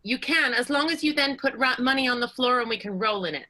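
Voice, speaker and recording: A woman's voice: sits high, animated, quick pace, bright, forceful tone, exaggerated voice. A woman playing a tactful, genteel vaudeville diva, mannered and wordy, in an improvised comic radio play. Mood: playful.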